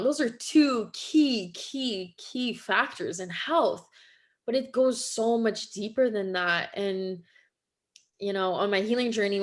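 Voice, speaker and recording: Earnest woman. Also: medium pitch. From Quebec, Canada. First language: French. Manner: heartfelt, earnest, halting with many fillers. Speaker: podcast guest. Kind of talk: casual podcast interview.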